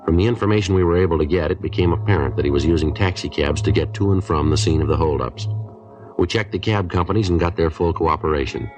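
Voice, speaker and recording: A male actor plays a terse American police detective in a 1950s radio drama. His low voice moves quickly through the facts, deadpan and businesslike, on an old recording with faint music behind it. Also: recorded from a distance, natural voice, low-quality recording. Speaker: detective narrator and lead.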